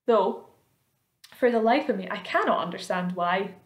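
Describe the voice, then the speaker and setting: A woman's voice: mid-range voice, animated, normal speed, natural voice. A woman voicing a sensible female ladybird in a children's story. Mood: exasperated. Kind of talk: children's storybook read aloud. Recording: roomy, echoing indoor sound.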